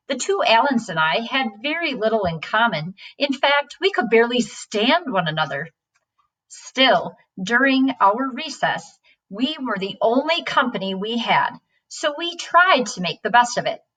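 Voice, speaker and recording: High-voiced woman reading a story aloud. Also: very animated, normal speed, a bit bright. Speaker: story reader. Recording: distant from the microphone.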